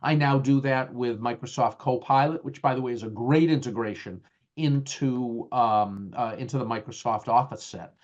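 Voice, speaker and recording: A man's voice: medium pitch, a little mellow. Boston-accented man leading a webinar. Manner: conversational, many fillers and restarts. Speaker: webinar presenter. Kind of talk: webinar talk with slides. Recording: dull lo-fi webinar audio.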